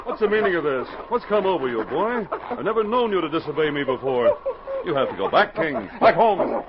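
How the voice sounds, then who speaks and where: An actor playing a brave, kindly but firm Mountie sergeant in a 1940s radio adventure. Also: male voice, fairly high pitch, very animated, a little fast. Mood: urgent, stern. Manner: commanding, reassuring. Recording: muffled, noisy 1940s radio recording.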